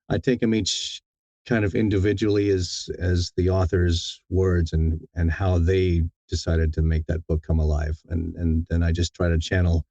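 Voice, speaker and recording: A man giving thoughtful interview answers in a halting, deliberate way, often repeating words as he thinks. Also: deep voice, dark tone, no room sound, highs cut off. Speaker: audiobook narrator, interview guest.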